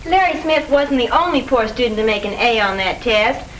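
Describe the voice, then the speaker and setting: An actress playing a pushy, gossipy high school girl in an old educational film, speaking in an earnest, insistent way. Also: lively intonation, normal speed, lightly acted. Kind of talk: 1950s educational film acting. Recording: noisy 1950s film soundtrack.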